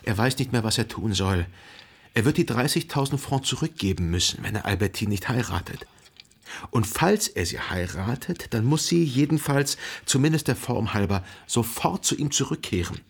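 A man playing a reflective, lovelorn narrator recalling his past in a literary radio drama, measured and contemplative. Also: medium pitch, lively intonation, vocal fry, husky. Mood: urgent pleading.

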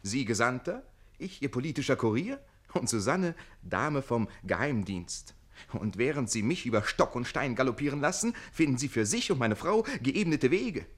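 An actor in a classic German radio play playing a witty, scheming young bridegroom in service, theatrical, ironic and eloquent. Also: male voice, mid-range voice, big pitch swings, moderate pace. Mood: bitterly sarcastic. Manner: theatrical, ironic, eloquent, shifting into conspiratorial muttering.

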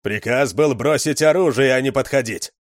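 A man voicing a tough, commanding middle-aged male gang leader who gives gruff orders. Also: mid-range voice, very animated, normal speed, dry recording, studio quality, voice pushed up, natural voice.

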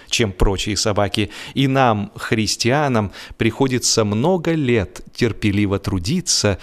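A man narrating a humorous story in a theatrical, mock-solemn, ironic manner. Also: medium pitch. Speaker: audiobook narrator. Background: music.

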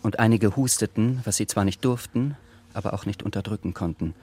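A man narrating a literary radio drama in a measured, sober scripted style. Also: lowish voice, dark tone, slightly rough.

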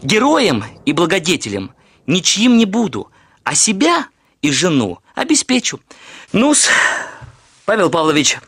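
An actor playing a brash, self-assured young suitor in a Russian radio drama, arguing in a formal, pedantic, insolent manner. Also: male voice, high-pitched, huge pitch swings, measured pace, long pauses, fairly bright tone, breathy edge, full character voice. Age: young adult.

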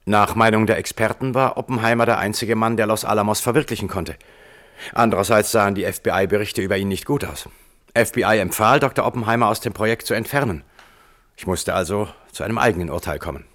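Man doing a frank dry lawyer on the stand, radio drama, kinda low voice. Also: fast, a bit bright, close to an everyday voice. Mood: candid. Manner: matter-of-fact, candid. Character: frank, dry male lawyer, former wartime security chief. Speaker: witness at a hearing. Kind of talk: radio play courtroom acting.

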